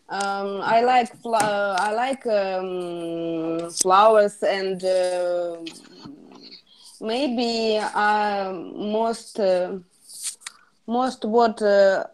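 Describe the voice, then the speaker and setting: This is a woman whose first language is Russian, talking about her work in English in a practice group. She speaks very slowly and stops often, with many fillers and broken-off words. Her pitch sits in the middle of a woman's range. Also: a little hiss or hum. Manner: hesitant, many fillers and restarts. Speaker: English learner. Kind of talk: halting conversation practice.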